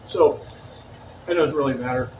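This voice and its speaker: A man's voice: mid-range voice, moderate pace, highs cut off. A man in casual chat, telling stories in an easygoing, chatty way. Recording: roomy, distant and noisy.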